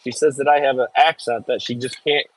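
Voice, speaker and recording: A man's voice: mid-range voice, steady tone, a little fast, no echo, poor audio. A man with a Michigan accent, hosting a casual livestream and rambling as he reads and answers viewers' chat messages. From Michigan, US Midwest. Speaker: livestream host. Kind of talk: casual live-stream chat.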